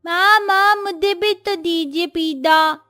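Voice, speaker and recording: A woman's voice: extremely high pitch, steady tone, takes it slowly, bright, forceful tone, faint music behind, pitched above the performer's own voice, heavily acted. A woman voicing a sweet, eager little girl in a cartoon, with babyish pleading and a childish lisp.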